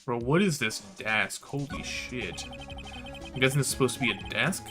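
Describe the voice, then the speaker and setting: A man's voice: mid-range voice, animated, normal speed, over music. A man giving casual, slangy gaming livestream commentary, swearing and chatting to his viewers. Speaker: live streamer.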